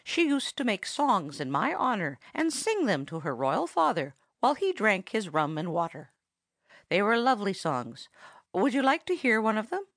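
A woman's voice: mid-range voice, big pitch swings, normal speed, a bit bright, no room sound, clean studio sound. A woman voicing a vain, pompous female parrot who tells stories in a grand, easily offended manner. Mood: proud, boastful.

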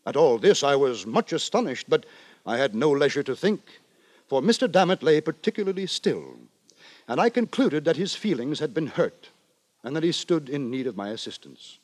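A man playing a pompous, wry gentleman narrator in a 1950s radio drama, telling his tale with ornate, mock-solemn deliberation. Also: a little high, expressive, normal speed, no echo. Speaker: narrator and lead actor. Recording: dry 1950s radio with music.

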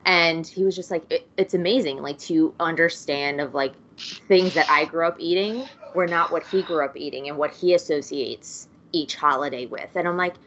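A woman with a lowish voice talks in a rambling, casual way, full of fillers and side remarks. The recording sounds dull and lo-fi. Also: normal speed, a bit bright, a little hiss or hum. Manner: rambling, lots of fillers and asides. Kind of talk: casual chat.

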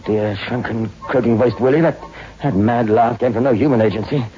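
An actor playing a tough young crime reporter who is terrified and near cracking, in a melodramatic 1960s radio horror drama. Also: male voice, medium pitch, fairly quick, natural voice. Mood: haunted, tense. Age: young adult. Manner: melodramatic, swinging from hushed narration to frantic shouting. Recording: muffled, echoey old radio recording with music.

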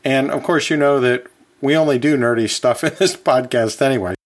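A man's voice: medium pitch, moderate pace. A man hosting an educational podcast, talking like a chatty teacher in a conversational, folksy way with casual asides. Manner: chatty, chuckles often, self-correcting asides. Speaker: podcast host and anatomy and physiology teacher.

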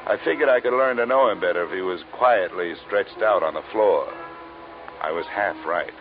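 An actor playing a tough, sardonic American insurance investigator, wry and blunt, in a vintage radio detective drama. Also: male voice, low-pitched, moderate pace, over music, close to an everyday voice. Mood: hardboiled narration. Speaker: lead detective and narrator. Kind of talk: 1950s radio detective acting. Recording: muffled, hissy old radio recording.

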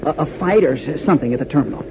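An actor playing a plucky young American aviator, boyish and earnest, with an American accent, in 1940s radio style. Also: male voice, medium pitch, expressive, racing, vocal fry. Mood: urgent, determined. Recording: muffled, noisy 1940s radio recording.

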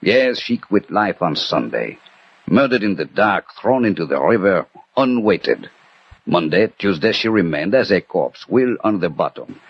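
An actor playing a refined French detective with measured, formal diction in an old radio drama. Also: male voice, sits low, sing-song, slightly rough, no room sound, full character voice. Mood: musing, analytical. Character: refined, cerebral French detective Dupin, grave and courteous. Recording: dull vintage radio recording with music.

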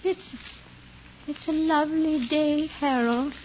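A woman's voice: sits high, steady tone, slow pace. A woman playing a frail, befuddled old invalid aunt in 1950s radio drama, vague, dreamy and repetitive. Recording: muffled, hissy old radio broadcast.

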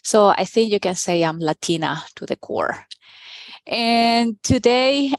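A woman's voice: mid-range voice, no room sound. A woman from Peru raised in Venezuela, hosting a conversational interview and asking questions with enthusiasm. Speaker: podcast host.

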